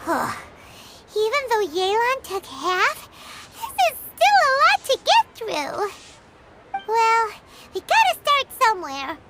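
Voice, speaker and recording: A woman voicing a tiny, curious female fairy companion in a game, with a high, squeaky, childlike voice, who talks about herself in the third person. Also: wildly swooping pitch, slow, exaggerated voice. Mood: puzzled, chatty. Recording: game audio with loud music behind.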